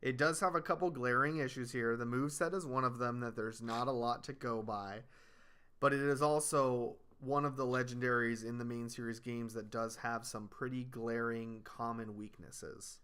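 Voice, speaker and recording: A man hosting a casual podcast chat, explaining things in a steady way and listing them off one by one. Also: medium pitch, normal speed, smooth. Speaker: podcast host.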